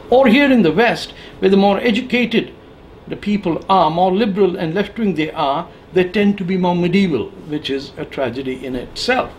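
A man in his sixties giving an opinion monologue to camera, emphatic and sardonic, with deliberate phrasing. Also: fairly high pitch. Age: 60s. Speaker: political commentator. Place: living room with bookshelves, indoors. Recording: clear background noise.

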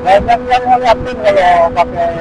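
A man's voice: high-pitched, moderate pace. A man chatting casually and slangily to viewers while riding a motorcycle. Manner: slangy, addresses viewers as friends. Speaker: motovlogger. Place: outdoors on a motorcycle at night. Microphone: intercom headset fed into action camera. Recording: helmet intercom with loud engine and wind noise. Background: motorcycle engine, passing traffic, wind.

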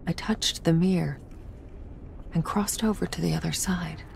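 A woman playing a gentle, protective young psychic in a horror game, speaking in a hushed, earnest way. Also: fairly low pitch, steady tone, moderate pace, gravelly, slight background noise. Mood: somber, reflective. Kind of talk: cinematic horror game dialogue and narration. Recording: loud music and rain behind.

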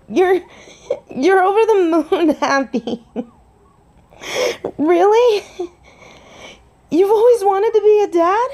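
A woman's voice: mid-range voice, dark tone. A woman playing a tender, nervous young biker girlfriend in an intimate roleplay audio drama, speaking hesitantly and breathily. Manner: hesitant, breathy, halting delivery with whispers.

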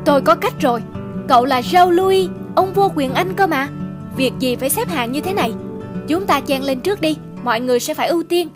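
A hot-headed, insistent man voiced by a woman talks in a high, pushy cartoon voice. Faint music sits behind the indignant delivery. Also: expressive, brisk. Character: hot-tempered, pushy man, friend of a champion. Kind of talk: cartoon dubbing.

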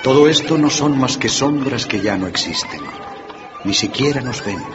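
An actor playing a solemn, knowing ghostly spirit guide in a radio drama. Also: male voice, medium pitch, expressive, normal speed, a crowd in the background, lightly acted. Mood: amused. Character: solemn ghostly spirit guiding an old man through memories. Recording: muffled radio drama with prominent music.

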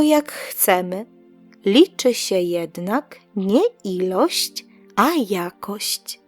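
A woman reads a moral tale for children as an audiobook narrator. Her delivery is slow and deliberate, with pauses between short phrases. The recording is dry and close, with faint music behind the voice. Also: mid-range voice, no room sound.

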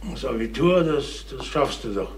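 An actor playing a middle-aged, exhausted, agitated father in a German crime radio drama, brusque, halting and repeating himself. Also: mid-range voice, lively intonation, lightly acted. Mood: pressing. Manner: halting, repetitive, demanding. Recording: roomy, faintly noisy old radio recording.

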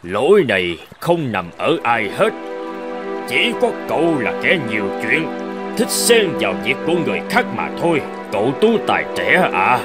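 An actor playing a calm old male hermit sage who chides in a measured, knowing way. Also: medium pitch, music in the background. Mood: calm admonishing. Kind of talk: Vietnamese cartoon dubbing.

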